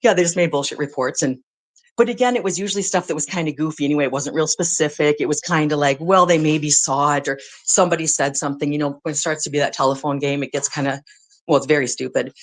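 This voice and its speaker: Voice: mid-range voice, fast. Conversational American speaker, informally explaining. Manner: rambling, conversational, blunt with mild swearing. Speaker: guest, former social services worker.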